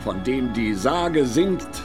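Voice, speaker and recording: A man's voice: mid-range voice, lively intonation, slow pace. A man narrating a scripted radio drama in a solemn, literary style. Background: music.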